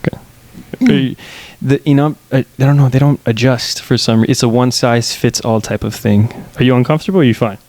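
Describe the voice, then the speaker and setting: A man's voice: medium pitch, fairly quick, a little mellow, no echo. A young man in his twenties hosting a casual podcast chat on a headset mic, playful and laughing often, sometimes rambling. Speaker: podcast host.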